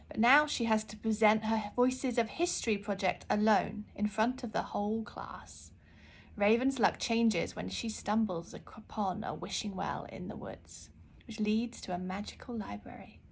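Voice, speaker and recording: A woman author reading her children's book aloud in an expressive storytelling style. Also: mid-range voice, measured pace, creaky, husky.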